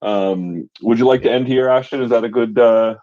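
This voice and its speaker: A man hosting an online audio room, speaking in a relaxed, friendly, plain-spoken way. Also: medium pitch, moderate pace, narrow, tinny audio.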